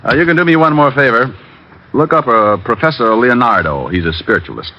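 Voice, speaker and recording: An actor playing a wisecracking private detective who also narrates, with dry sarcastic humor, in a 1950s radio drama on a dull old broadcast recording. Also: male voice, medium pitch, animated, brisk, noisy background, close to an everyday voice. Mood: wry.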